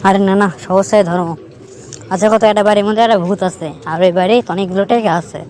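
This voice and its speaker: A man's voice: high-pitched, steady tone, quick pace, darkish tone, close to an everyday voice. A man with a northern Bangladeshi accent playing a teasing young riddler in an amateur cartoon, explaining things to his friend. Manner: teasing, explanatory, keeps checking the listener follows. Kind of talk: amateur cartoon dialogue, storytelling.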